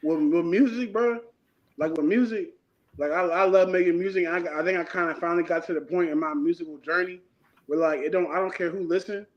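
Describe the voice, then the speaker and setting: Laid-back man in his thirties, high voice. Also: fairly quick. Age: 30s. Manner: laid-back, rambling, slangy. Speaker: guest artist. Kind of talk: casual interview talk. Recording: dull lo-fi sound.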